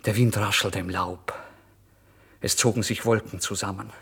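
A man's voice: fairly low pitch, steady tone, moderate pace, vocal fry, fairly bright tone, husky, exaggerated voice. A male actor playing a despairing, tormented starving writer in a literary radio play monologue, theatrical in delivery. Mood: resigned. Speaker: actor narrating in first person.

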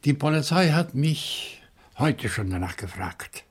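An actor playing a calm, probing questioner in a German radio crime drama, speaking deliberately and insistently. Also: male voice, fairly high pitch, husky, heavily acted. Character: calm, probing man questioning a suspect, Monsieur Fougère.

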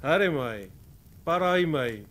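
Friendly whaler in a radio play, choppy broken sentences, swingy pitch, slow, some background noise. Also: male voice, lively intonation, fairly bright tone. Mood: inviting, blunt. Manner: halting broken phrases. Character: Queequeg, foreign harpooner in broken German, blunt and friendly.

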